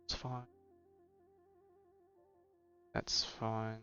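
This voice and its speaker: A man live-streaming a game, chatting casually with viewers and thinking aloud in halting, repetitive phrases. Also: on the low side, completely flat delivery, sharp tone, clear, resonant tone, dull audio. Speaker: game livestreamer. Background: game music and cutscene audio.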